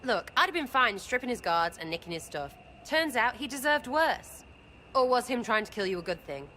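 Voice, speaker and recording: A woman's voice: a little high, big pitch swings, moderate pace, sharp tone, slight background noise. A woman voicing a cheeky, irreverent young thief archer in a video game cutscene, brash and crude in her speech. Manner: brash, mocking, slangy and crude.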